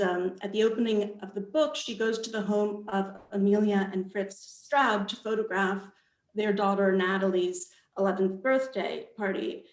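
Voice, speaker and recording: A woman answers as a guest author on a panel over a video call. She speaks slowly and thoughtfully, often repeating a phrase as she goes. The sound is roomy, with clear echo around her voice. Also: medium pitch, a little mellow. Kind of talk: panel interview answers about own book.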